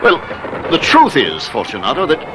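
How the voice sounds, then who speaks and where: An actor playing a scheming, evasive gentleman who hides a cold murderer, in an old radio mystery style. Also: fairly high pitch, huge pitch swings, moderate pace, background noise. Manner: blustering, evasive, swinging from indignant to coldly menacing.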